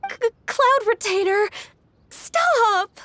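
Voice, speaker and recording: A woman voicing a gentle, dutiful young secretary in a video game, courteous and earnest. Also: very high-pitched, lively intonation, very slow, heavily acted. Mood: embarrassed.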